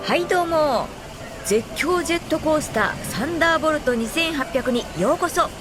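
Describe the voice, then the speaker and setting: High-pitched, excitable young ride attendant. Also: female voice, normal speed, over music. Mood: excited, welcoming. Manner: excitable, bouncy customer-service patter. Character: high-spirited blond young amusement park ride attendant, gushing and apologetic. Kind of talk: radio drama acting.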